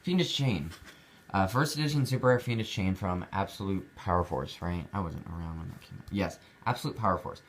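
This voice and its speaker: A man's voice: sits low, fairly quick, a little hiss or hum. A young man chatting casually about his collectibles, rambling with fillers and restarts. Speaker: card collector showing his cards. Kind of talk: casual vlog chat.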